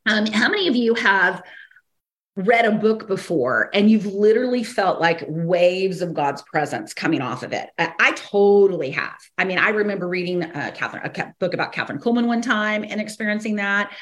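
A woman hosting a teaching podcast, slightly hoarse, talking in a rambling casual way with many fillers, restarts and short laughs. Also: mid-range voice, lively intonation, moderate pace, fairly bright tone. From American South. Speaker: podcast host and ministry teacher.

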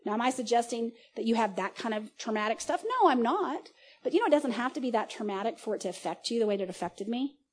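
A woman in her forties giving a casual, conversational sermon at a church service, animated, often laughing and restarting her sentences. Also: on the high side, quick pace, slight background noise. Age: 40s. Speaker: preacher.

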